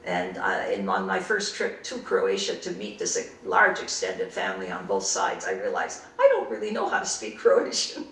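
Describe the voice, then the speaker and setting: An older woman from Canada, recalling her early life and studies in an unhurried, reflective way in an oral history interview. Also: rumbling low, wildly swooping pitch, gravelly, a bit bright, reverberant, recorded from a distance, a little hiss or hum. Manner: reflective, unhurried storytelling with frequent restarts.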